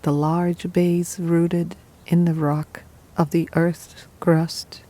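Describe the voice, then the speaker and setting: A woman guiding a meditation in a calm, unhurried, soothing manner, leaving pauses between short phrases. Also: lowish voice. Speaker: meditation guide. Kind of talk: guided meditation, scripted narration.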